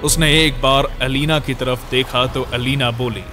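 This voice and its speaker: A man's voice: medium pitch, steady tone, a little slow, over music. A man narrating a scripted horror story.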